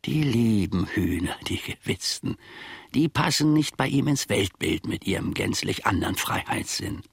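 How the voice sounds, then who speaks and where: An actor playing a grumbling, self-important old manservant in a German radio play, husky and gravelly, telling his grievances in halting, mock-formal phrases. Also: fairly low pitch, expressive, no room sound, clean studio sound, heavily acted. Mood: wry, indignant storytelling.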